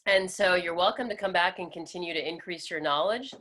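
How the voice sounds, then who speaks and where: A woman's voice: fairly low pitch, fairly quick, a bit bright, slight background noise. Upbeat woman hosting a webinar. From Florida, USA. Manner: upbeat, welcoming. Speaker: webinar host. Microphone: video call.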